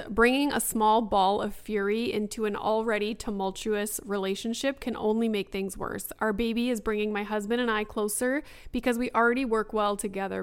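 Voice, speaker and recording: A young woman hosting a solo podcast in a chatty, casual way, her voice a bit raspy from talking. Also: mid-range voice. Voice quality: raspy after long talking. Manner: chatty, frequent fillers like "you know" and "like". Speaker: podcast host. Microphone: laptop recording setup.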